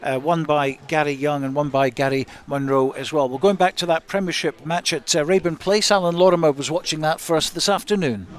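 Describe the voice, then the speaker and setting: Guy hosting a radio sports show, voice a bit high, going through results live. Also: fairly quick, hardly any pauses.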